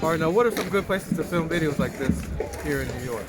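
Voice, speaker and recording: Higher-voiced male interviewer over loud music. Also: quick pace. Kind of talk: casual street interview.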